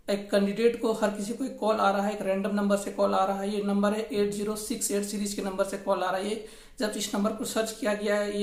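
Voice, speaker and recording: A woman's voice: mid-range voice, a little mellow. A woman from explains the news informally as a channel host, often circling back over her phrases. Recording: echoey, roomy sound.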